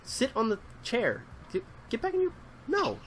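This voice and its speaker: A man's voice: very high voice, huge pitch swings, normal speed, fairly bright tone, slight background noise. A young man hosting a Minecraft series in casual chat, playing a bossy, exasperated detective with lots of ums and uhs. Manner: filler-heavy, exasperated bossy detective act. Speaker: channel host and Minecraft roleplayer. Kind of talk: casual let's-play chat and improvised roleplay.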